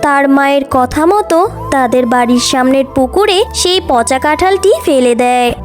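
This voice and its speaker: A woman's voice: high-pitched, normal speed, over music. A woman narrating a scripted children's story.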